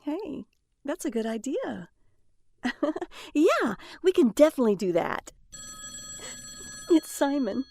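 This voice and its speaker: A woman's voice: high-pitched, big pitch swings, takes it slowly, vocal fry, pauses often, a little mellow, heavily acted. An actress playing a stressed mother and trucker's wife in an audio drama, by turns snappish and chatty. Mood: friendly, chatty. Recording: faint background noise.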